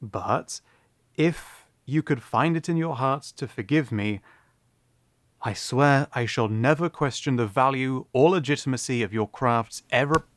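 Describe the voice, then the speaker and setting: An actor playing an earnest, awkward young prince in a fantasy audio drama, speaking formally and stammering through apologies. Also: male voice, mid-range voice, measured pace, no echo, studio quality, natural voice.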